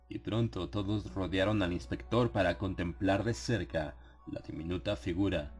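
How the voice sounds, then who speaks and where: A man with a low, deep voice narrates a dramatized horror audiobook. His delivery is solemn and measured, with a literary feel. Soft music plays behind him. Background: atmospheric music.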